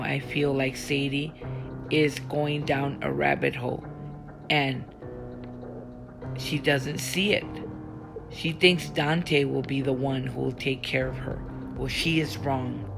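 Fuming protective friend, read by a woman, gravelly edge, music underneath. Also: a bass voice, heavily acted. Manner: bitter, fuming inner monologue.

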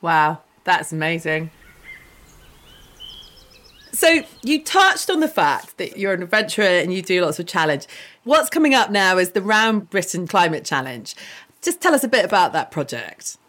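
A woman's voice: fairly low pitch, sing-song, moderate pace, a bit bright. A woman hosting a podcast, introducing her guests and asking them questions in an encouraging, upbeat way. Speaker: podcast host. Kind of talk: casual podcast interview.

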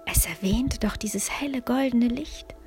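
A weary, doubting old wisdom speaks in a storybook, as a woman acts it out, hushed and half-whispered. The pace is slow and reluctant, over faint soft music. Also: a little high, natural voice. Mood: bewildered.

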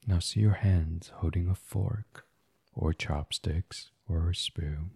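A man gently guiding a sleep meditation in a soft, soothing, unhurried ASMR style. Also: low voice, vocal fry, slightly rough, dry recording. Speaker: guided meditation host.

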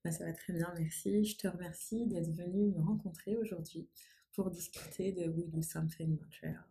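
A woman hosting an interview podcast, reading a scripted intro and then gently asking questions with some hesitation. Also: fairly low pitch, steady tone, moderate pace, gravelly, slightly rough, rough recording. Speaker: podcast host.

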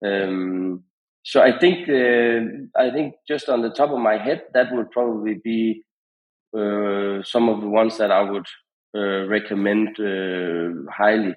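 A man giving conversational advice as a podcast guest, in halting phrases with frequent repetitions. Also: fairly low pitch, steady tone, phone-quality sound. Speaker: podcast guest, founder of a radio monitoring company.

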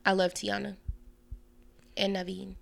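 A woman's voice: mid-range voice, completely flat delivery, a little slow, gravelly. A woman guest on a podcast, chatting casually with strong opinions.

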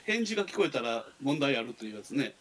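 Male conversationalist, Kansai accent, mid-pitched voice with animated intonation, informal and chatty. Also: fast talker, a little hiss or hum. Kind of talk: casual chat.

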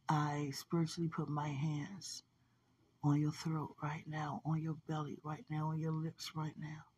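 A woman minister speaking a prophetic word to a singer, drowsy and drifting between firm exhortation and low whispers. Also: a little mellow, a little hiss or hum. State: drowsy, dozing off.

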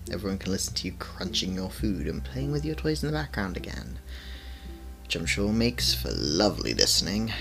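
A man playing a fussy, whimsical podcaster who mutters softly and playfully to himself and his cat in an audio roleplay. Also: low-pitched, creaky, full character voice. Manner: soft-spoken, playful muttering to himself and a pet.

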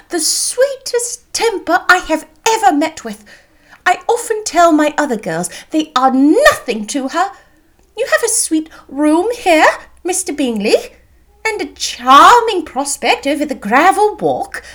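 Fussy middle aged mum from an old novel, super high, gushy, all over the place. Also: female voice, a bit bright, heavily acted. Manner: effusive, exclamatory. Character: fussy, gushing, self-important middle-aged mother.

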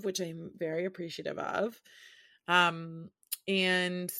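Chatty woman in her thirties. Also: on the low side. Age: 30s. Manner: laughs often, chatty and expressive. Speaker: podcast co-host. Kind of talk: casual chat. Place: at home.

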